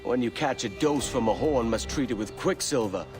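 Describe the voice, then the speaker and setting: Wry roguish pirate captain, mid-pitched, played by a man. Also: moderate pace, lightly acted. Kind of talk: video game cutscene dialogue. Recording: music behind the voice.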